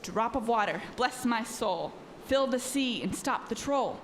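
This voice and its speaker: A woman's voice: a little high, animated, unhurried, a little hiss or hum. A woman playing a resourceful young magical maid who speaks in incantations in a radio play. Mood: solemn, spellcasting.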